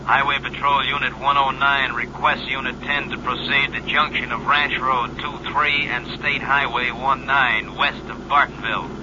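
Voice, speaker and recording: 1950s radio-drama police dispatcher: official, detached, mid-pitched, heard through dull, clearly noisy shortwave. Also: male voice, moderate pace. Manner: formal, flat official delivery. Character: formal, impersonal police radio dispatcher, adult man. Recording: muffled, noisy shortwave-style vintage radio.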